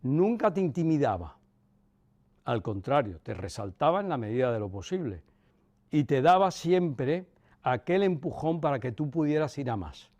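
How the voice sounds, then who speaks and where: Reflective older man, softened Cuban accent. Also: on the high side, very animated. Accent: Cuban softened by Castilian Spanish. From Cuba. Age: 60s, about 64. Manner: measured, pausing, emphatic repetition. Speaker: interviewee. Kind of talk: reflective personal reminiscence.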